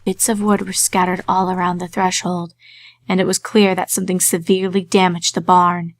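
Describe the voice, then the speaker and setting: A woman reading a dark fan story aloud in a measured way, dropping to a hush on eerie lines. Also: fairly low pitch, flat delivery, dry recording. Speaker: reader.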